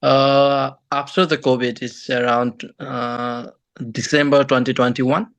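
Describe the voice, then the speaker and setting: A young man from Nepal with a Nepali accent, answering interview questions in halting, non-native phrasing. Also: mid-range voice, little pitch movement, vocal fry, a bit bright, dry recording. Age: young adult. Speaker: guest, engineering graduate and former student.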